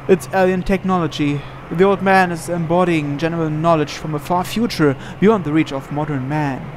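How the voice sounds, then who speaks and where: A man's voice: on the high side, fairly even intonation, normal speed, slight background noise. A man reading visual novel narration aloud dramatically, as a let's player.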